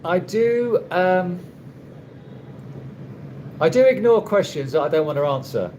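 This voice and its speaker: A man casually answering viewers' questions on a live stream, rambling and halting with many restarts and a dry, wry humor. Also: sits high, animated, darkish tone, dull audio, slight background noise. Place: condo room.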